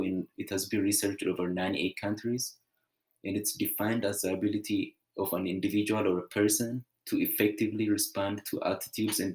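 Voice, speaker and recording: A young man from Ethiopia, giving an earnest, measured prepared presentation with small restarts. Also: on the low side, darkish tone, rough recording.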